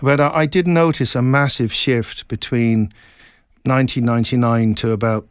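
A middle-aged man from Scotland, an author being interviewed, talking in a reflective, meandering way with halting restarts. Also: mid-range voice, fairly even intonation, clear, resonant tone, no echo, studio quality. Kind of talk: casual interview chat.

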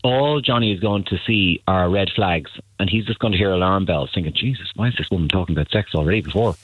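A man's voice: deep voice, quick pace, husky, no echo. Blunt man on a phone line. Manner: blunt, emphatic, repeats himself. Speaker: matchmaking agency guest. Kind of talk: radio call-in advice. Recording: tinny phone line, dry.

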